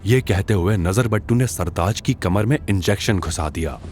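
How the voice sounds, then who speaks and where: A man's voice: deep voice, normal speed, a little mellow, soft background music. A man narrating a dramatic scripted audio drama series as its storyteller.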